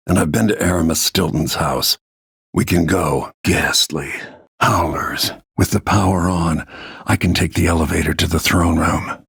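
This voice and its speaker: An actor playing a grim, weathered middle-aged royal bodyguard turned assassin in a video game, gravelly and husky, brooding and terse. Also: male voice, a bass voice, a bit bright, no room sound, studio quality, full character voice.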